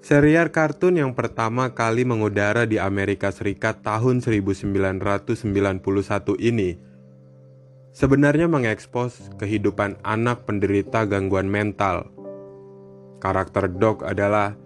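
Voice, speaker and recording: A man hosting a horror podcast, reading scripted narration. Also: on the low side. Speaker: podcast host. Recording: music bed behind the voice. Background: background music.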